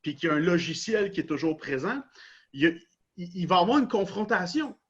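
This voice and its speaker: Man, Québécois French accent, explanatory, repeats set phrases, lively pitch, higher voice. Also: brisk, slightly rough. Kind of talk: casual discussion. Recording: dull lo-fi recording.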